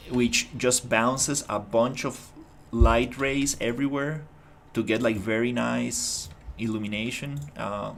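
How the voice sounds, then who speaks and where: A man's voice: mid-range voice, vocal fry. A man from Rica, casually talking through his game development on a livestream, rambling and restarting as he thinks aloud. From Costa Rica. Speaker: indie game developer streaming his work. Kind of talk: casual livestream commentary while working.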